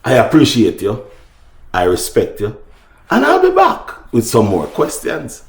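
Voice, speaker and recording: A man's voice: medium pitch, huge pitch swings, unhurried, reverberant. A man with a Jamaican accent hosting casual opinion talk for his viewers, speaking emphatically and repeating phrases. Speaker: online talk show host.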